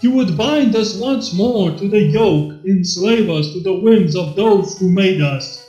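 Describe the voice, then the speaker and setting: A man's voice: high-pitched, expressive, measured pace, warm tone, echoey room, dull audio, soft background music. A man voicing a theatrical robot who declaims a grandiose, anguished Shakespearean soliloquy in a fan fiction reading. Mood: bitter, accusing. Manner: grandiose, declamatory stage delivery.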